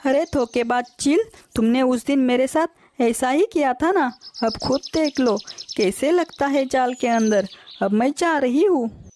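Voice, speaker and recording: A woman plays a small, earnest female sparrow in a kids' cartoon. Her voice is very high yet soft-edged and mellow, with noticeable noise behind it. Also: measured pace. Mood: scornful. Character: trusting little female sparrow, friendly but determined. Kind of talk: children's cartoon story acting.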